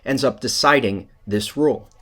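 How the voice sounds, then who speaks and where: A man's voice: mid-range voice, normal speed, pushed and bright. A man giving explanatory commentary as a rules analyst, wry and emphatic, often posing rhetorical questions. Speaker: rules analyst narrating video breakdown.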